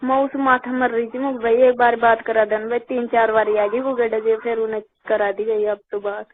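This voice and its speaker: Woman, high voice, Rajasthani accent. Casual, complaining phone chat with repetition, heard through a thin, narrowband line. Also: little pitch movement, moderate pace. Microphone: phone call. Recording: tinny phone line call recording.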